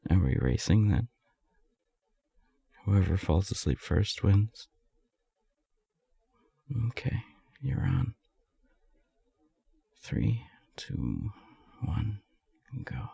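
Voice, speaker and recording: A man playing a lovestruck, self-deprecating non-binary friend in an intimate romantic roleplay audio, speaking softly and haltingly in a breathy, husky voice. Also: low-pitched, very animated, no echo, exaggerated voice. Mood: sleepy, playful. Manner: hesitant, halting, soft-spoken.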